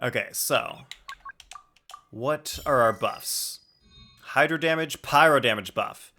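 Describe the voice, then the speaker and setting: A man's voice: medium pitch, takes it slowly, pushed and bright. A man chatting casually on a game livestream, informally thinking aloud as he plays. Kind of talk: casual livestream gaming chat. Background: music.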